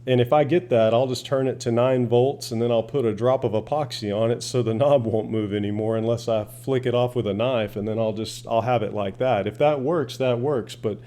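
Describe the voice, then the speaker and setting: A man's voice: mid-range voice, fairly quick, hardly any pauses, mellow. A man giving a casual, plain-spoken, rambling product demonstration as a hobbyist reviewer.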